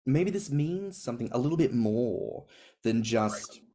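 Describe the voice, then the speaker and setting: A man's voice: mid-range voice, lively intonation, measured pace, mellow. A man in a casual podcast chat, talking expressively with big swings and emphatic stresses. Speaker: podcast co-host or guest.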